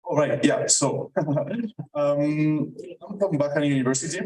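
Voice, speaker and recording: A man's voice: fairly high pitch, measured pace, a little mellow. A young man from Italy with an Italian accent, giving a hesitant academic talk full of ums and uhs as a student presenter. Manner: hesitant, many fillers. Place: lecture room. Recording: voice from a distance in a lecture room.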